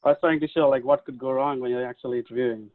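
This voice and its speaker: A man's voice: mid-range voice, quick pace, no room sound. Man on a dry, tinny video call. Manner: joking, relaxed, self-deprecating. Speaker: physician faculty member. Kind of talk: casual remarks on a video call.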